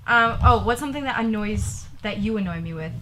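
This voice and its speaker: A woman's voice: mid-range voice, expressive, a little fast, fairly bright tone, a little hiss or hum, rough recording. A young woman hosting a casual couple Q&A vlog, giggling often and rambling, repeating herself as she talks. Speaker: vlogger.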